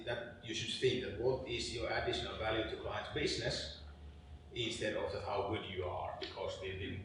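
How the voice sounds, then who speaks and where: Low-voiced man from Finland, roomy and distant. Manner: measured, repeats words as he builds a point. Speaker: panelist. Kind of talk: casual panel discussion. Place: event venue with audience. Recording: echoey room, mic far off, background noise.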